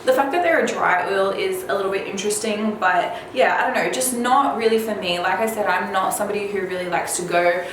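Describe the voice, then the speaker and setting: A woman beauty vlogger chatting casually and informally to viewers, her voice slightly raspy from a cold. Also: medium pitch, fairly quick, few pauses. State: slightly under the weather with a cold. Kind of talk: casual chat to viewers about product releases. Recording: very echoey room, faint background noise.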